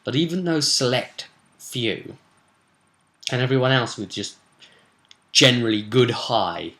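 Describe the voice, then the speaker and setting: British male, bright forceful voice, unhurried game master recap, chatty with playful asides. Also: medium pitch, animated. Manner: chatty, digressive, with playful asides and emphatic bursts. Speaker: game master recapping a tabletop role-play session. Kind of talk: casual animated recap to camera.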